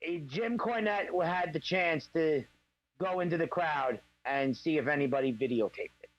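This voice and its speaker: A man's voice: a little high, moderate pace, dry recording, highs cut off. A man co-hosting a casual podcast, ranting with heavy swearing. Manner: ranting, profane. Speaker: podcast co-host. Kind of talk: casual chat.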